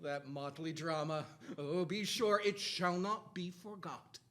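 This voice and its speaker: An older man gives a grave, theatrical poetry recitation, declaiming with hushed drops and booming cries. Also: measured pace. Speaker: stage performer reciting poetry. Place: theatre stage at a lectern.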